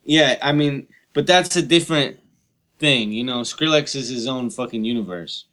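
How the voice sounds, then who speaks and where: A man hosts a podcast in a loose, conversational way. His talk is slangy and full of swearing, and he hammers points home by repeating them. The pitch is ordinary for a man. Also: normal speed, a bit bright. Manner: profane, slangy, emphatic repetition. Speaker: podcast host. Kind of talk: casual interview chat.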